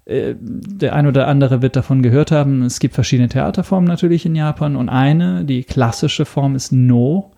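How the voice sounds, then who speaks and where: Italian guy speaking german, hesitant, dark sound, guest on a podcast. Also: mid-range voice, normal speed, vocal fry, hardly any pauses, a little hiss or hum. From Italy. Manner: hesitant, many ums. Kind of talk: casual interview talk.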